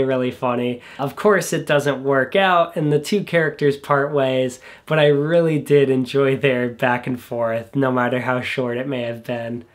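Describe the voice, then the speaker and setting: Young guy talking movies from his bedroom, bit stuffy nosed, easygoing, lots of uhs. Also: medium pitch. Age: young adult. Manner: relaxed, enthusiastic, frequent uh fillers. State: congested from a cold. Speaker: film reviewer. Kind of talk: casual scripted review. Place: small bedroom studio indoors.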